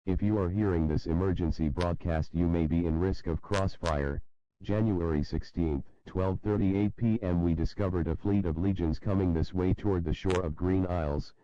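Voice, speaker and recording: A man's voice: low voice, steady tone, moderate pace, mellow, muffled recording. A man reads a scripted emergency broadcast announcement in a formal, solemn manner. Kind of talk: scripted emergency alert narration. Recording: hissy recording with faint noise.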